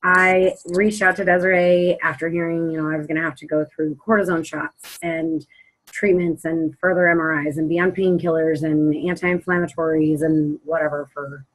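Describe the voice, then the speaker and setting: A hoarse woman giving a candid personal testimonial over a webcam video call. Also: sits low, flat delivery, moderate pace, rough recording. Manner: apologetic, candid. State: hoarse from yelling.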